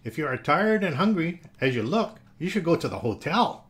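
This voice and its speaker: A man's voice: fairly high pitch, big pitch swings, normal speed, a bit bright, voice pushed up. A man voicing a friendly, goofy male dog sheriff in a storybook, with a folksy, drawling manner.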